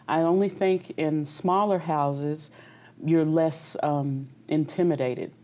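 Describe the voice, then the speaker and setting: A woman's voice: low voice, fairly even intonation, slow pace, a little mellow. A young woman opera singer from Kentucky, talking candidly and easily about her career in an interview. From Kentucky, USA. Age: young adult. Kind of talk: conversational interview.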